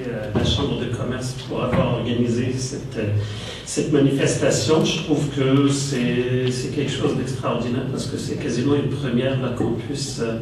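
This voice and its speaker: A Moroccan-born man speaks at a conference, pausing often on fillers and restarting his phrases. His voice carries through a big echoey hall from some distance off the mic, with a faint hiss underneath. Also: medium pitch. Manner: hesitant, many fillers and restarts. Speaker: panel speaker. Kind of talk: conference talk.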